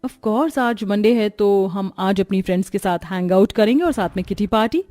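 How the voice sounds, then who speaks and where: A woman's voice: mid-range voice, moderate pace, dark tone, dry recording, faint music behind, natural voice. A woman voicing an arrogant, spoiled young daughter-in-law in a cartoon, talking snootily and mixing in English. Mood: defiant. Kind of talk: cartoon voice acting.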